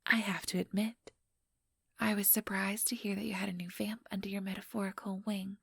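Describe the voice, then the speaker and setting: A woman voicing an ambitious, teasing vampire in an ASMR roleplay, breathy and whispery, with a sugary, mocking condescension. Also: medium pitch, level tone, brisk, no room sound, studio quality. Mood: smug, scheming. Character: ambitious, teasing female vampire, smugly scheming.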